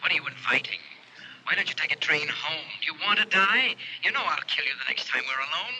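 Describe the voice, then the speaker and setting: Menacing, unhinged husband, intense and sharp-edged, old noisy radio melodrama. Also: male voice, fairly high pitch, expressive, fairly quick, exaggerated voice. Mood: tormented, threatening. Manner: intense, accusing, clipped demands. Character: tormented, menacing husband gone mad, threatening his wife. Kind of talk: 1940s radio thriller acting.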